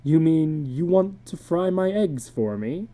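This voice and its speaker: A woman's voice: low-pitched, expressive, measured pace, warm tone. A woman voicing a reassuring husband and father in a dramatic story reading, soothing and reasoning. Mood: doubtful.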